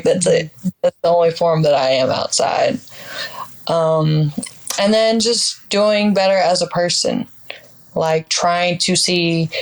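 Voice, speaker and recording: A woman's voice: fairly low pitch, clean tone, rough recording. A woman in her twenties, a podcast guest, talking in a reflective, upbeat, casual way with many fillers. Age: 20s. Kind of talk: casual interview chat.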